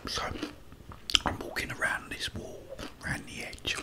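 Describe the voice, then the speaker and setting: A middle-aged British man telling rambling, unhurried anecdotes as an ASMR host in between bites of food. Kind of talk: whispered ASMR storytelling while eating.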